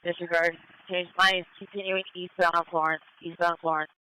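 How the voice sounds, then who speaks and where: Bright, crisp male air unit on police radio. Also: high voice, flat delivery, normal speed, poor audio. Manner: terse, running call-outs of directions. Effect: police radio transmission. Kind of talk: police radio pursuit commentary.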